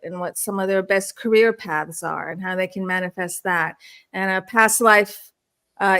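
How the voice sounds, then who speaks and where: A woman's voice: medium pitch, fairly bright tone. A woman from Turkey who grew up in the Washington DC area, talking conversationally as an interview guest and telling personal stories. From born in Istanbul, Turkey; grew up in the Washington DC area. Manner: frequent throat clearing. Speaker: guest, spiritual counselor and experiencer.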